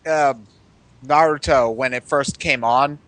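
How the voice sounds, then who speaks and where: A man chatting casually as a podcast co-host, often halting and rephrasing as he goes. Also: medium pitch, a bit bright, dry recording. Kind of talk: casual group chat.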